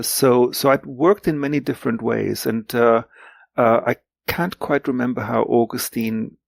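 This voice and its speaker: A man in his sixties whose mother tongue is German, speaking reflectively and haltingly as an interview guest, often repeating words for emphasis. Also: medium pitch, creaky, darkish tone, dry recording. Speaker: guest, literary translator. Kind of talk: reflective podcast interview talk.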